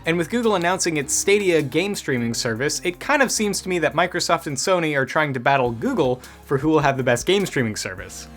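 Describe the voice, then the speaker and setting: A man's voice: fairly high pitch, animated, fairly quick, hardly any pauses, fairly bright tone, faint music behind. A man hosting a gaming news show in a casual, conversational way, dropping wry asides as he goes.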